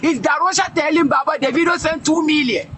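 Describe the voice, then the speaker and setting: A man with a very high voice, shouting his points on a live stream. Faint noise hums behind him, and he pushes each phrase with force. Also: a little fast. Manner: shouting, emphatic. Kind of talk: heated live-stream talk.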